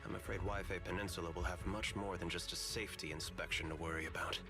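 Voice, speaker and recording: Suave, low-voiced, calculating executive. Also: male voice, steady tone, bright, forceful tone, faint music behind. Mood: measured, skeptical. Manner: polished, formal, measured, sardonic. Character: suave, calculating male corporate executive, guarded and cynical. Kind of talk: scripted video game dialogue.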